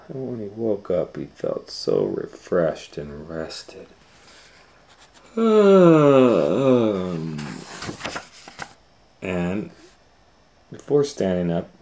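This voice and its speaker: Slow, low-voiced male storytime reader. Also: creaky, mellow. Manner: conversational asides and questions to listeners. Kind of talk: picture-book read-aloud for children.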